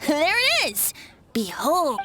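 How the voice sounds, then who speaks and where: A woman's voice: high-pitched, huge pitch swings, slow pace, heavy background noise, exaggerated voice. A woman voicing a playful, teasing young boy bard in a game, with florid theatrical phrasing. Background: game background music.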